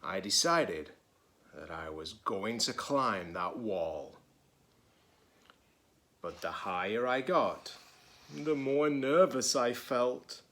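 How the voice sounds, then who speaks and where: A man voicing a wistful, anxious storybook egg who recounts his fall in an earnest, deliberate way. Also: lowish voice, lively intonation, fairly bright tone, heavily acted. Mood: nervous, resolute.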